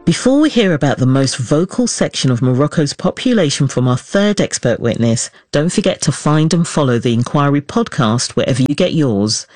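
Lively, higher-voiced male podcast narrator. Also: no room sound. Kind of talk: scripted documentary narration.

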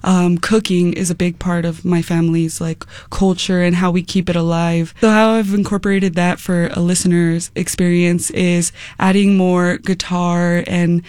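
A young American singer speaks in a soft, easygoing way, often pausing on fillers and laughing a little. Her voice sits at a middle pitch. The sound is close and dry, with no room around it. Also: little pitch movement, clean tone, dry recording. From United States. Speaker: musician guest. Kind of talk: casual interview.

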